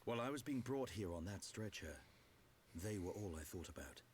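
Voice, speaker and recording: Man acting a very deep-voiced, stoic war veteran. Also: lively intonation, normal speed, a little mellow, slight background noise, close to an everyday voice. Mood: solemn, resolute. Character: wounded young war veteran, stoic, solemnly grieving. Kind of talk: dramatic video game voice acting.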